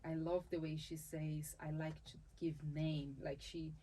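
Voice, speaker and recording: A woman's voice: deep voice, flat delivery, unhurried, mellow, slight background noise. A woman from Brazil with a Brazilian accent and Portuguese as her first language, a dancer and capoeira teacher talking in an interview, thoughtful, often restarting her phrases and laughing easily. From Salvador, Bahia, Brazil. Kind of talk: conversational interview.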